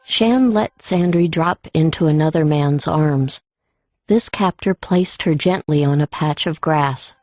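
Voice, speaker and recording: A woman's voice: deep voice, unhurried, a little mellow, no echo, faint music behind. A woman reading scripted fantasy fiction as an audiobook narrator.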